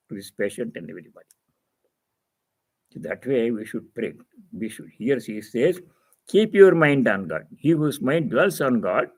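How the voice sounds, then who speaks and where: Man giving a measured spiritual discourse. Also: mid-range voice, slightly rough, low-quality recording. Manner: didactic, repeats phrases for emphasis. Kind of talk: spiritual discourse, reading and explaining scripture.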